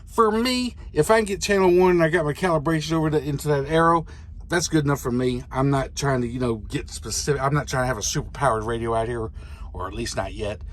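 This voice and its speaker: A man's voice: mid-range voice, fast talker. A middle-aged American man, a truck driver, giving a casual hands-on tutorial in a plain, folksy way. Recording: clearly noisy vehicle cab.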